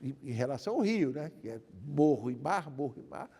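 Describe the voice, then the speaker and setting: Older Carioca man, gravelly and husky, lively pitch swings, rambling storyteller, reverberant hall sound. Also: fairly quick. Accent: Carioca Brazilian Portuguese. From Rio de Janeiro, Brazil. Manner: rambling, repeats himself, frequent "né?". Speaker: guest lawyer. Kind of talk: interview storytelling.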